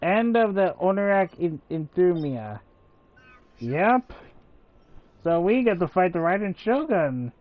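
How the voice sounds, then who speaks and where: A man streams a game with casual commentary. His voice sits a little high and he takes his time between phrases. The recording sounds slightly muffled. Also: very animated, slow pace, pauses often. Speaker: gaming streamer. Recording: dull, slightly lo-fi mic.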